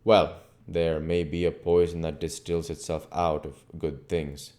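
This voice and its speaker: A man reading a classic novel aloud as an amateur audiobook reader, in a measured, formal way. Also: rumbling low, dark tone.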